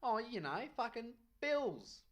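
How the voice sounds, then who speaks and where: A man's voice: very high-pitched, sing-song, takes it slowly, fairly bright tone, full character voice. A man voicing a curt, defensive middle-aged male guardian.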